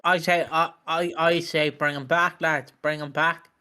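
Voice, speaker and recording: Man, podcast co-host, bright tone, slightly high pitch, jokey. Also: level tone, measured pace, clear, resonant tone. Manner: jokey, chants playful catchphrases. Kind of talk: casual chat about a TV soap.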